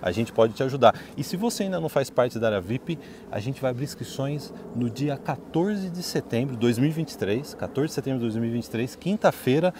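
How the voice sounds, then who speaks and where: A man's voice: medium pitch, animated, a little fast, few pauses, background noise. A middle-aged Brazilian man chatting casually as a video host and adviser, joking and emphatic, recorded outdoors. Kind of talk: casual two-host chat with promotional pitch. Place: outdoors in a wooded residential neighbourhood.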